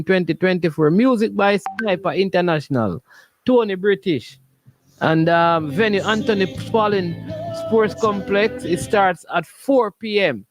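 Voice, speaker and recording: A man with a Jamaican accent hosting a casual sports call-in show, talking in a jocular way and repeating his phrases. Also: high-pitched. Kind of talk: casual live call-in chat in Patois.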